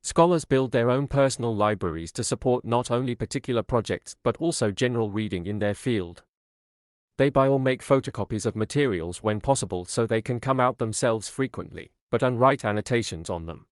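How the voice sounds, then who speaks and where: A man's voice: medium pitch, fairly quick, no echo, studio quality. A man reading scripted practice passages aloud as a narrator.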